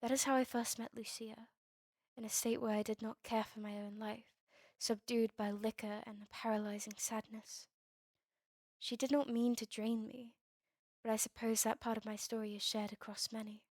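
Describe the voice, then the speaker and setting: A woman playing a melancholy, courteous vampire in an ASMR roleplay drama, with formal, old-fashioned, measured phrasing. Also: fairly high pitch, flat delivery, a little mellow, no echo, clean studio sound. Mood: sorrowful. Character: melancholy, courteous female vampire, turned young over a century ago.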